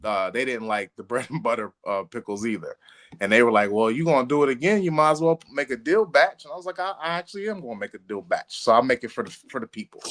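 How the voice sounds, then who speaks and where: A man co-hosting a podcast with casual, playful banter, swearing freely and quick to crack up. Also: medium pitch, lively intonation, fairly bright tone. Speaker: podcast co-host.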